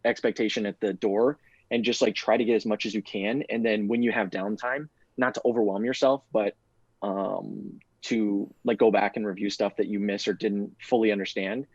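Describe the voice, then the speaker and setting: A man's voice: lowish voice, steady tone, normal speed, breathy edge, no echo, lo-fi sound. A man answering interview questions in a rambling way, filling his sentences with "like". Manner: rambling, heavy use of "like". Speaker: guest, bootcamp graduate.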